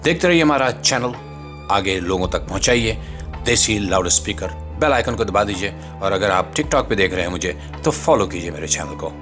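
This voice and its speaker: A man's voice: sits low, animated, normal speed, over music. A man narrating scripted political commentary as a channel host, in a rhetorical, sardonic and declamatory way.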